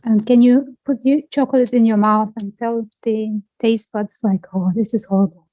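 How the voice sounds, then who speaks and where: A woman guiding a self-inquiry conversation, asking gently probing and playful questions. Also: fairly high pitch, fairly even intonation, normal speed, no room sound, muffled recording.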